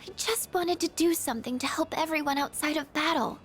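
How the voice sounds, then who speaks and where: A woman playing a shy, gentle, insecure teenage girl in a video game, with a hesitant, apologetic, stammering way of talking. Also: high-pitched, brisk, faint music behind. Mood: flustered, self-doubting. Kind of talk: video game character dialogue voice acting.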